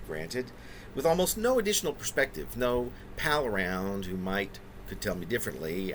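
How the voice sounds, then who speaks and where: Low-voiced man reading poetry, lively pitch. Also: slight background noise. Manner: halting, deliberate phrasing with wordy, winding sentences. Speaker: poet reading own work. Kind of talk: reflective spoken autobiographical poetry reading.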